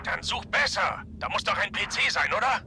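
An actor playing a brusque, sardonic comrade in arms in an audio drama. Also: male voice, high-pitched, expressive, brisk. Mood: impatient. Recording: clear background noise.

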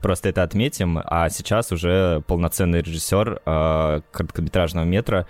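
A man's voice: deep voice, steady tone, brisk, darkish tone, dry recording. A man hosting a podcast, introducing the guest in a hesitant, laughing way with many fillers. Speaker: podcast host. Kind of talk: casual conversation.

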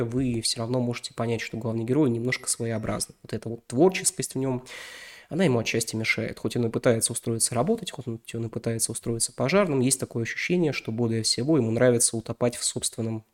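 A man's voice: medium pitch, a little mellow. A young man, slightly ill, hosting a podcast and talking loosely about a novel, with many fillers and self-corrections. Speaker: podcast host reviewing a novel. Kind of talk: casual spoken book review.

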